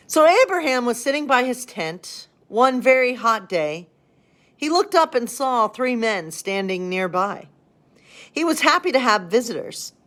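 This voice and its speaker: A woman's voice: mid-range voice, a bit bright. Woman pastor doing bedtime stories for kids, chatty and informal, rambles a bit, talks to you like friends. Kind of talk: casual chat and storybook reading for children.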